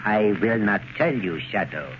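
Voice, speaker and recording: An actor playing a menacing, grandiose cult prophet from India in a theatrical, commanding 1930s radio drama style. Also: male voice, lowish voice, lively intonation, unhurried. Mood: proud defiance. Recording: muffled, noisy 1930s radio recording.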